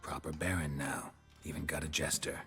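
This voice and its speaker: Casting: a laconic, stoic fantasy game mercenary, extremely deep voice, dry deadpan lines. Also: male voice, normal speed, faint music behind. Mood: sardonic. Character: terse, dry, stoic monster-hunting mercenary man. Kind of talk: understated game acting.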